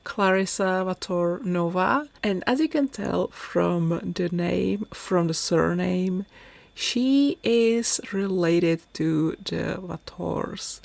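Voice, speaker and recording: Low-voiced Czech woman, slow and gravelly. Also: no echo. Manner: chatty, rambling, self-deprecating. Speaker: gaming YouTuber. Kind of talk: casual voice-over commentary.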